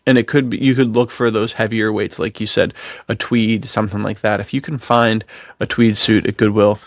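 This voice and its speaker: Male podcast co-host, lowish creaky voice, dry close sound, excitable buddy banter full of slang. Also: moderate pace, dry recording. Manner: slangy, excitable bro talk. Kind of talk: casual, enthusiastic chat between friends.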